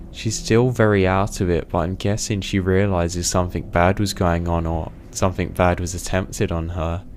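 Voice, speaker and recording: A deep-voiced man tells a scary true story in the first person, his delivery tense and deliberate. A faint hum of ambient noise sits under the voice. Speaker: horror story narrator.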